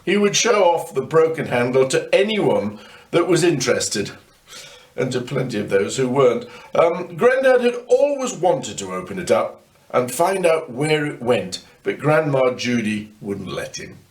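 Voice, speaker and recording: An older man reading a children's ghost story aloud in a wry way, with dramatic pauses. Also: medium pitch, big pitch swings. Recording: distant microphone.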